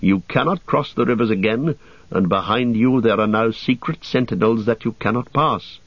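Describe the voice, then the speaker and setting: A man playing a proud, stern elf guard captain in an audiobook. The voice lifts above the narrator's low tone and stays formal and unbending. The recording is dry and a little dull. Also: moderate pace, no echo, close to an everyday voice.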